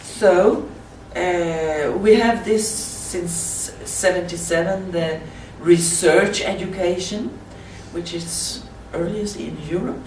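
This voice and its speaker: A Swedish woman with a Swedish accent, speaking halting non-native English in a slide presentation at a conference. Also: fairly low pitch, darkish tone. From Sweden. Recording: roomy, distant mic with faint hiss.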